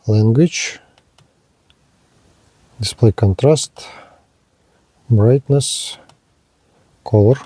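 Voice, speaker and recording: A man giving a hands-on gadget demonstration as a reviewer, speaking in short, halting, item-by-item phrases. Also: fairly low pitch, dark tone, breathy edge, no room sound. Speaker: product reviewer, presenter.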